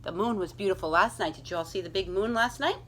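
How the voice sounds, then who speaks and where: A woman librarian reading aloud to children in an expressive storytelling manner, speaking directly to young listeners. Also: mid-range voice, fast.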